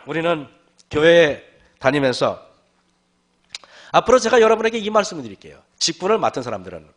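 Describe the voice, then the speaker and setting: A male pastor giving a sermon, with a hoarse voice and frequent throat clearing, often calling out to the congregation and asking rhetorical questions. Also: fairly high pitch, big pitch swings, a little fast, long pauses, fairly bright tone.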